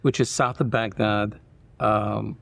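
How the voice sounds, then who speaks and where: Older guy in his 60s from iraq, warm and plain telling his story, dry sound in a church hall. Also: fairly low pitch, fairly even intonation, slow pace, gravelly. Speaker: interview guest. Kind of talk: personal testimony in conversation. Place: dark church auditorium, indoors.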